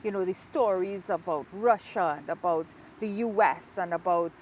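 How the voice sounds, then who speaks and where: A woman from Trinidad and Tobago with a Trinidadian accent, an author talking about her book in an outdoor interview in a park, reflective and quick to laugh. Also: lowish voice, a little hiss or hum, poor audio. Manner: warm, reflective, laughs easily. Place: outdoors in a park, rain falling.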